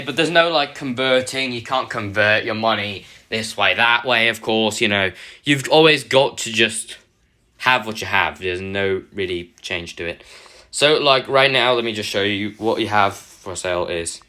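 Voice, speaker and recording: A man with a half-lost, hoarse voice, rambling through a casual mobile game tutorial with lots of self-corrections. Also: fairly low pitch, measured pace, bright, forceful tone. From United Kingdom. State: partly lost voice, throat-clearing. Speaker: gaming channel host.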